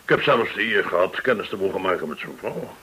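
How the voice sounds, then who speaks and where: A man's voice: deep voice, expressive, fast talker, bright, forceful tone, exaggerated voice, low-quality recording. An actor in a radio play playing a courteous, shrewd male go-between, formal, learned and insinuating. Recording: hissy old radio recording.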